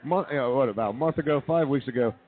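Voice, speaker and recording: A man's voice: mid-range voice, fast talker. A man hosting a radio show, talking casually about sports and joking with co-hosts. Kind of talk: casual sports and comedy banter. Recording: tinny, narrow-band phone-like audio.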